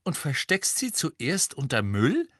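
A man's voice: a little high, huge pitch swings, slow, fairly bright tone, no echo, clean studio sound, voice pushed up, full character voice. A man voicing a lanky, sceptical teenage boy in an audiobook story, blunt and grumbling. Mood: incredulous.

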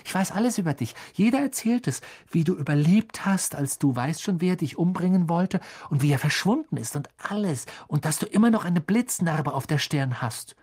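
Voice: mid-range voice, lively intonation, normal speed, few pauses, darkish tone, slightly rough, voice pushed up. A reader voicing an eager, starstruck young schoolboy in a storybook, gushing and begging. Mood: eager, pleading.

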